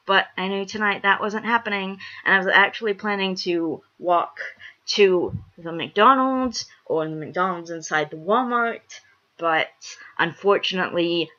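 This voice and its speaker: A young woman vlogger talks in a slightly low voice, stuffed up with a cold. She rambles casually to her viewers, restating phrases for emphasis. The recording is a little dull and lo-fi. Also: fairly bright tone. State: congested from a cold.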